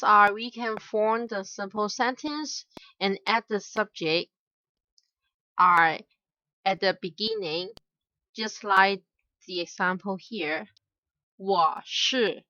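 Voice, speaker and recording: Young woman tutor, very slow and halting, bright tone, dull dry lo-fi take. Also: mid-range voice, no room sound. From Hong Kong. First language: Cantonese. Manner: hesitant, many filler "uh"s, careful repetition of example phrases. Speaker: language teacher. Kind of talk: slow explanatory grammar lesson. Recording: dull, slightly lo-fi dry recording.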